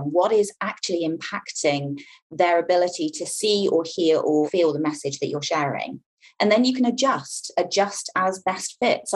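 A woman's voice: fairly low pitch, darkish tone, breathy edge, poor audio. A woman communication coach speaking in an articulate, emphatic way about how people take in information. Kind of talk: interview talk, explaining.

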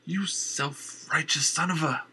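A man's voice: medium pitch, very animated, moderate pace, pushed and bright, slightly rough, voice pushed up, heavily acted, rough recording. A man playing a shaky, stammering young woman. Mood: defiant. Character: frightened, defiant young woman singer.

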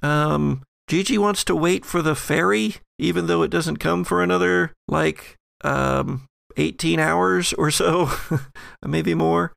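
A man's voice: on the high side, steady tone, gravelly, a bit bright, no room sound, studio quality, exaggerated voice. A man voicing a nervous young woman who rambles hesitantly in an audio role-playing game. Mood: nervous, flippant. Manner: hesitant, halting, full of ums.

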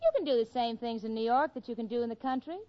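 An actress playing a level-headed, wisecracking young roommate in a 1940s radio sitcom, breezy and wry. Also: female voice, a little high, fast, natural voice. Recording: dull, noisy 1940s radio recording.

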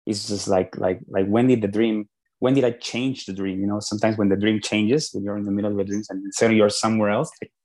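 A man's voice: fairly low pitch, level tone, quick pace, a little mellow. A man from Peru with a Peruvian Spanish accent, a guest on a podcast, telling his story earnestly and often restarting his sentences. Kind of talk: podcast interview.

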